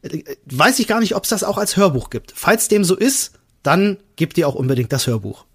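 A man's voice: high voice, very animated, normal speed, slightly rough. A man co-hosting a podcast in casual chat, explaining things with enthusiasm. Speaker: podcast co-host.

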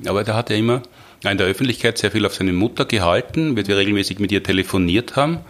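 A man's voice: fairly low pitch, fast talker, a bit bright. A man hosting a podcast, asking long, curious questions. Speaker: podcast host. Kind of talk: conversational interview.

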